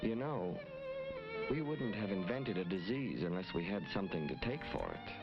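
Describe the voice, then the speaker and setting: A man playing a folksy, wry pitchman in a vintage TV commercial voice-over, talking conversationally. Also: sing-song, normal speed. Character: folksy wry male pitchman for an upset-stomach remedy. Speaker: commercial announcer. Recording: dull old TV ad, music behind.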